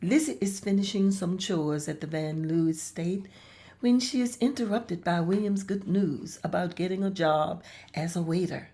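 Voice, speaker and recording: A woman's voice: lowish voice, normal speed, mellow. A woman reading stage directions aloud as the narrator of a table read.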